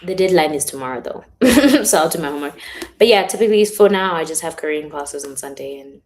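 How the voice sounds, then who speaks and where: Chatty young woman, voice going hoarse. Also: animated, brisk. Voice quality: speaking lower than her usual bright voice. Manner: chatty, rambling, giggly, uses filler words a lot. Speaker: author hosting a livestream. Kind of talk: casual livestream chat. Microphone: phone or webcam in a livestream.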